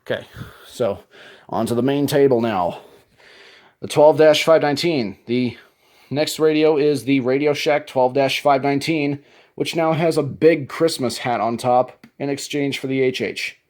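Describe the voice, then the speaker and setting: A man's voice: medium pitch, a little slow, darkish tone. A man presenting his hobby collection in a casual show-and-tell style, talking from home.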